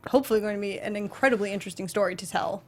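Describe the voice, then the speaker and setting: A woman's voice: medium pitch, rapid-fire. Lady co-host chatting about games, kinda feels her way through each sentence. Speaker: talk show co-host. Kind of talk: casual chat discussing a video game.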